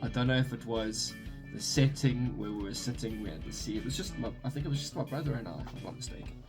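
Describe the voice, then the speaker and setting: A man's voice: mid-range voice, fast. Young south african guy rambling to his camera in his room, guitar music playing behind. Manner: rambling, self-correcting, chatty. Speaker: vlogger. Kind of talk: casual talk to camera. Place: his bedroom.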